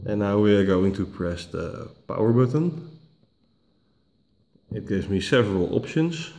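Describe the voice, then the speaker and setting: A man who is not a native English speaker, walking through a tablet reset step by step, unhurried and full of "uh" fillers and restarts, recorded at home on a camera mic. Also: fairly low pitch, expressive, a little mellow. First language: not English, picks Dutch. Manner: unhurried, many uh fillers, self-corrections. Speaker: tech tutorial host. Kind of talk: slow step-by-step screen walkthrough. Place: home dining room, indoors.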